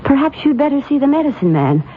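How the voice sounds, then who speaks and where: A solicitous wife, animated, highish voice. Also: female voice, quick pace, a little hiss or hum. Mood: tender, worried. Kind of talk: old-time radio drama acting. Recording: thin, phone-like old radio recording.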